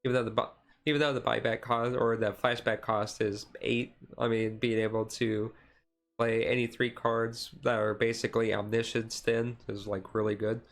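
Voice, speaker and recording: A man giving a casual, halting ASMR-style commentary as a streamer, explaining things in a rambling way. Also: medium pitch, level tone, gravelly. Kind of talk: casual card deck review commentary.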